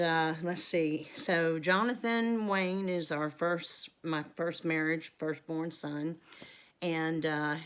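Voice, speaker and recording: A woman's voice: fairly low pitch, a bit bright. A woman, chatting to camera in a rambling, casual Q and A for her homestead vlog. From North Carolina, USA. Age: 50s. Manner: chatty and rambling, many ums and asides. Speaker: homestead vlogger.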